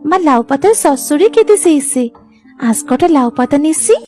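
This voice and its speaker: Woman as very high, childlike young village wife. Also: animated, moderate pace, warm tone, faint music behind. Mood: tender. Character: tender young village daughter-in-law, childlike and sensitive. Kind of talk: animated moral story acting.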